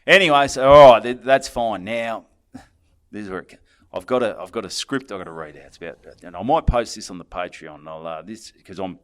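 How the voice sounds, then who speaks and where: A man's voice: fairly low pitch, brisk. A man with an Australian accent, co-hosting a podcast and telling a long rambling story in crude, swearing banter. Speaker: podcast co-host.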